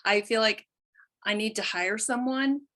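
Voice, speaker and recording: A woman's voice: fairly high pitch, unhurried, bright, forceful tone. A middle-aged woman talking as a podcast guest in a casual conversation, chatty and candid, often trailing off mid-thought. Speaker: guest, quilt artist.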